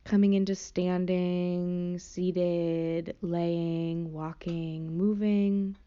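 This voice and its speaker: Woman meditation teacher, soft and unhurried, low warm voice, slightly muffled lo-fi recording. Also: level tone, clear, resonant tone. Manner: soft, slow, gentle. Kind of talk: guided meditation.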